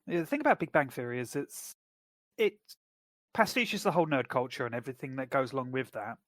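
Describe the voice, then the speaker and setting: A man co-hosting a podcast, chatting casually and explaining plots in a measured way. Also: mid-range voice, animated, dry recording, studio quality. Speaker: podcast co-host. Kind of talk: casual chat.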